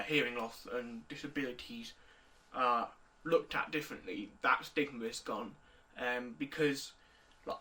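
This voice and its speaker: An eighteen-year-old man, calmly answering interview questions with pauses. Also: mid-range voice, recorded from a distance, poor audio. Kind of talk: recorded video interview answers.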